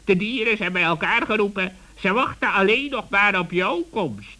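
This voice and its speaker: A man playing a sly, polite forest animal in a children's radio play, with measured, mock-humble courtesy. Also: high-pitched, animated, pushed and bright, exaggerated voice. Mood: courteous.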